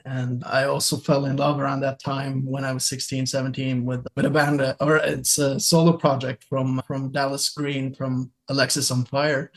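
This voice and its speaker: A man's voice: mid-range voice, rough recording. A man, a musician guest on a podcast, speaking in English. He talks reflectively and rambles, using many fillers. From Norway. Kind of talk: casual interview chat.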